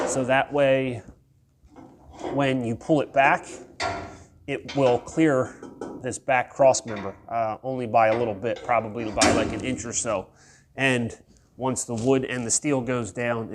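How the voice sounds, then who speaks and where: A man's voice: mid-range voice, background noise. A man in his thirties with a congested, nasal voice, casually explaining his truck build as a DIY vlogger in his home garage, rambling with loose fillers. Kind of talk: casual workshop vlog.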